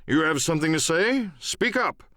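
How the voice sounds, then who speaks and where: A man voicing a pompous, excitable English gentleman squire in an audiobook drama, with a grand, blustering delivery. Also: fairly high pitch, normal speed, no echo, clean studio sound, pitched above the performer's own voice. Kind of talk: audiobook radio-play character acting.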